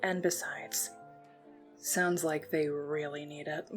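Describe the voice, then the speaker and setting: A woman playing a gloomy but caring young occultist in an audio roleplay, terse and deadpan. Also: low voice, slow, faint music behind. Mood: dry, reserved.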